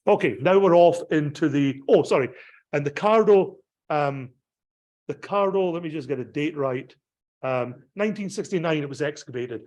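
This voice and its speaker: Brief: Scottish pastor narrating travel slides, meandering, lots of fillers, voice a touch high. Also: male voice, normal speed, darkish tone. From Scotland. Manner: rambling, digressive, many ums and restarts. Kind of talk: slideshow talk on a pilgrimage.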